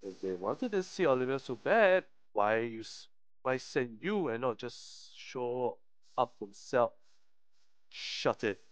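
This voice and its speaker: A man's voice: medium pitch, poor audio. A man live-streaming a visual novel, reading it aloud with slangy commentary and frequent stumbles over the text. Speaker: game streamer.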